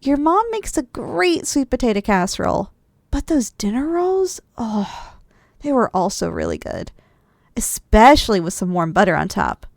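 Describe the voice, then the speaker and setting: Drowsy, affectionate wife with a lilting high voice, acted by a woman. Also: big pitch swings, moderate pace, creaky, no room sound, clean studio sound. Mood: drowsy, chatty. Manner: soft, affectionate, teasing, with sighs and giggles. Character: affectionate, drowsy, playful wife cuddling after a big dinner. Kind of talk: ASMR roleplay, intimate one-sided dialogue.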